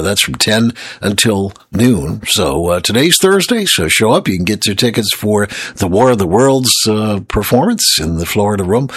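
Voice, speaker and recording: Gravelly, low-voiced male morning DJ. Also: fairly bright tone, no room sound, clean studio sound. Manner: rambling and chatty, teasing asides. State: gravelly, hoarse voice. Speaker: radio DJ. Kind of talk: casual morning radio announcing. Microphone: studio microphone.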